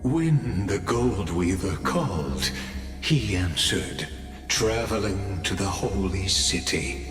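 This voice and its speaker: Game narrator, solemn epic bard: deep, measured, echoing over music. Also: male voice, expressive. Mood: solemn, grand. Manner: grave, measured, theatrical. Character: solemn male storyteller of an epic legend. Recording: long hall reverb, music behind.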